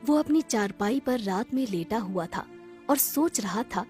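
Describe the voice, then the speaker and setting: A woman's voice: fairly high pitch, animated, unhurried, darkish tone, soft background music. A woman narrating a scripted children's story.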